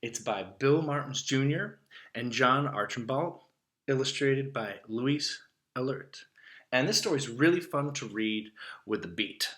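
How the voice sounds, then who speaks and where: A man's voice: medium pitch, sharp tone, slightly rough. A man doing a playful, rhythmic read-aloud of a picture book for children. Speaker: teacher reading a children's book.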